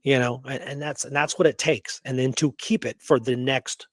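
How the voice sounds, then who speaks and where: Male history host, mid-pitched, conversational and emphatic, casual research chat in a dry recording. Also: steady tone, moderate pace, no echo.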